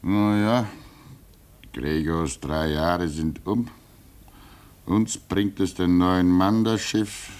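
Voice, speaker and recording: A man acting in a 1950s radio drama as an older, fatherly polar station worker who talks in a gentle, chuckling, soothing way. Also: sits low, steady tone, extremely slow, pauses often.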